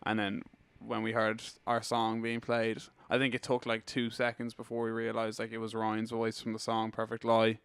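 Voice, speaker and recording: A man's voice: lowish voice, monotone, normal speed, few pauses, a bit bright, clean tone, no room sound. A young Irish man chatting casually in an interview as a band member. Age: young adult.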